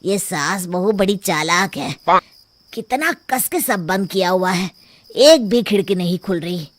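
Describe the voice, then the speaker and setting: A woman's voice: fairly low pitch, animated, unhurried, dry recording. A woman voicing a sneaky, jittery village burglar in a cartoon, talking in a conspiratorial way. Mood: scheming.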